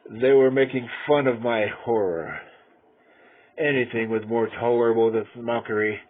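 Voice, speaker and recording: A man playing a cunning, mad murderer who confesses in a theatrical gothic horror reading, swinging between hushed control and frenzy. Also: medium pitch, moderate pace, pitched above the performer's own voice, natural voice. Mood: frantic, raving. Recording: tinny band-limited audio with clear noise.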